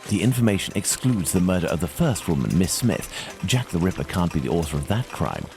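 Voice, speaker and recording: A self-assured English gentleman detective in a video game. His voice is very low but moves with lively intonation, formal and deliberate as he reasons, with music underneath. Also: gravelly, exaggerated voice. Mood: pondering. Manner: deliberate, formal, deductive phrasing. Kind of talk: scripted video game acting.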